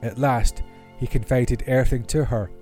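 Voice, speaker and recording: A man's voice: medium pitch, fairly even intonation, normal speed. A man reading Bible stories aloud as scripted narration. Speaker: Bible reader. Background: classical orchestral music.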